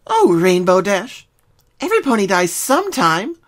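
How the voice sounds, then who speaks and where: A man's voice: sits high, wildly swooping pitch, moderate pace, a bit bright, pitched above the performer's own voice, exaggerated voice. A man voicing a deranged, murderous female pony in a horror fan story, lecturing theatrically with sweet menace. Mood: mock pity.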